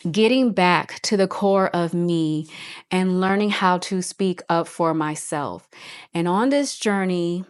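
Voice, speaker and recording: A woman's voice: fairly low pitch, clear, resonant tone. A woman in her forties talking to viewers in an earnest, reflective way, often going back to repeat a phrase. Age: 40s. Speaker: host. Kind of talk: personal motivational talk.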